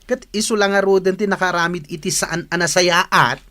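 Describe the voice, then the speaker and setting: A woman's voice: lowish voice, steady tone, normal speed, a bit bright, natural voice. A woman with a Filipino accent playing an outspoken, protective young friend, scolding emphatically in a radio drama. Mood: exasperated. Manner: exclamatory, emphatic scolding, code-switches into English.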